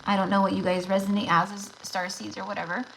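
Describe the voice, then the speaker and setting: A woman hosting a casual tarot livestream, chatty and rambling, talking straight to her viewers, with her voice going slightly raspy. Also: mid-range voice, flat delivery, fast talker, fairly bright tone, a little hiss or hum, low-quality recording.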